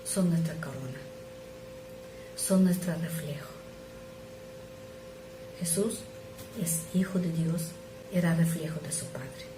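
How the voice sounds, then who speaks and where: A middle-aged woman who is not a native speaker, preaching an earnest, measured sermon that sometimes drops to soft asides. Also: low-pitched. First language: not Spanish. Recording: echoey room with noise.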